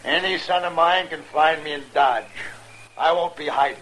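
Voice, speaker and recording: A man playing a gruff, hard-drinking frontier father in a 1950s radio drama, gruff and threatening. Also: on the high side, moderate pace, natural voice. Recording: old radio drama with noise.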